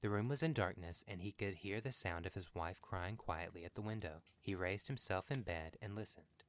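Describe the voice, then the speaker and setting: A man's voice: sits low, normal speed. A man reading a horror story as scripted narration for a podcast.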